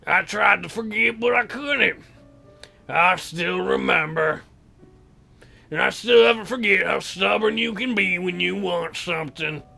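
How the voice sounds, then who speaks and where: A stubborn, wounded teenage boy from a dating game, acted out by a man. The voice is strained and very gravelly, pitched high for a male, and speaks slowly. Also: pushed and bright, faint music behind, full character voice. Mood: reproachful. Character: stubborn, hurt teenage boy, childhood friend. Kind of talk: reading visual novel dialogue aloud.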